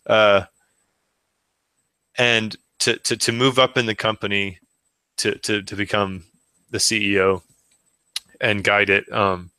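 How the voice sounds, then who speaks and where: A man with a lowish, bright and crisp voice co-hosts a podcast. He speaks haltingly, repeating words while he thinks. The sound is dry and close. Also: little pitch movement, no echo. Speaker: podcast co-host. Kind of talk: casual podcast chat.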